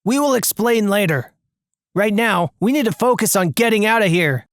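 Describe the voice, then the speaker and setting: A brusque getaway accomplice from a cartoon mystery, read by the narrator, bossy and impatient. The voice sits raised in pitch with lively movement, commanding and dismissive, recorded dry. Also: normal speed, no echo, lightly acted.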